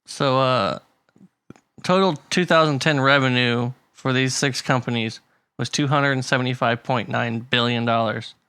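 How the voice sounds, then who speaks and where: A man co-hosts a relaxed podcast conversation, reading out statistics and chuckling along the way. His voice is bright at a medium pitch, recorded dry and close with no room sound. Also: unhurried, smooth, no echo. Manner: reads figures aloud, laughs often. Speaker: podcast co-host. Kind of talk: casual chat.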